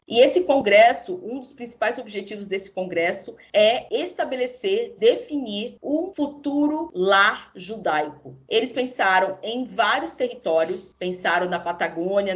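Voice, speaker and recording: A woman's voice: fairly low pitch, muffled recording. A woman with a Brazilian accent gives a didactic explanation as an interview guest, repeating phrases for emphasis. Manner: didactic, emphatic repetition for stress. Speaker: podcast guest, researcher and activist. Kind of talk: explanatory historical account in an interview.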